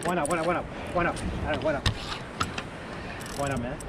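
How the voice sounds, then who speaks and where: A man's voice: fairly high pitch, brisk. A man fishing on sea rocks who talks hurriedly, swears and repeats himself, recorded amid heavy surf and wind. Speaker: fishing companion. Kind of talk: excited casual banter. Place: ocean rock ledge outdoors.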